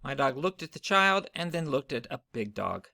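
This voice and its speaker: A man's voice: medium pitch, big pitch swings, normal speed, gravelly, pushed and bright, no echo, clean studio sound. A man narrating a children's story, read aloud from a storybook.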